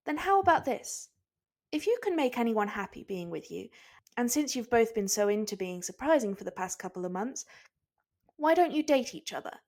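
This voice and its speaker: A woman voicing a cunning, composed, teasing woman with a measured, suggestive way of talking. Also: fairly high pitch, sing-song, darkish tone, pitched above the performer's own voice. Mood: sly.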